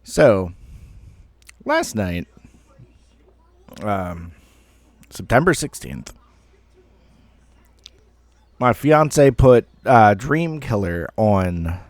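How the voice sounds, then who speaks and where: Low-voiced, unhurried man telling a story. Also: sing-song, no room sound. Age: 30s. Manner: rambling, self-deprecating, mildly profane. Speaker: online storyteller. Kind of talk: casual personal storytelling.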